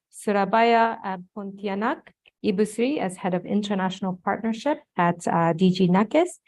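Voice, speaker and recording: A woman from Japan, a native Japanese speaker with a Japanese accent, giving an online guest lecture in a conversational, explanatory way. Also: mid-range voice. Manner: conversational, explanatory, some restarts.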